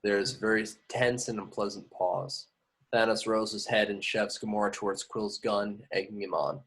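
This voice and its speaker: A man with a fairly low voice narrates stage directions. It is a scripted read, delivered as part of an amateur table read. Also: steady tone, normal speed, fairly bright tone, breathy edge, rough recording.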